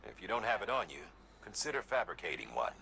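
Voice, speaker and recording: An actor playing a helpful advisor giving equipment tips in a video game radio briefing, instructive in manner. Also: male voice, lowish voice, sing-song, quick pace, sharp tone, exaggerated voice. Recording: clear background ambient game noise.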